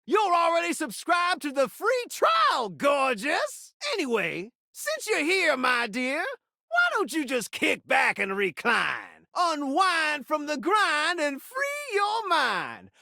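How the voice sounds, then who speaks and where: A hyperactive game-show-slick television set come to life, flirting in brash rhymes. The voice is high and bright, swooping wildly in pitch like a video game cartoon showman. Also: wildly swooping pitch, slow pace, creaky, no echo, studio quality, heavily acted. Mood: smooth flirting. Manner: brash showman, rhyming catchphrases. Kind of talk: theatrical rhyming video game voice acting.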